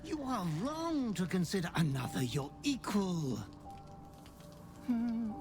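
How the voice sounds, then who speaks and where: A sinister butler purrs threats in a slow, insinuating drawl. The voice sits high and swoops widely as it lingers over each word. Music plays behind him in this game cutscene. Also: male voice, big pitch swings, full character voice. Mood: menacing. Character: sinister male butler, silky and menacing. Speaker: game character.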